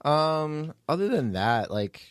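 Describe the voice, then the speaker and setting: A man's voice: on the high side, sing-song, slow pace, smooth, dry recording, clean studio sound. A man hosting a podcast in casual, unscripted chat, talking informally in a rambling way and often restarting his own sentences. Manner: rambling, informal, self-interrupting. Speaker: podcast host, writer and producer.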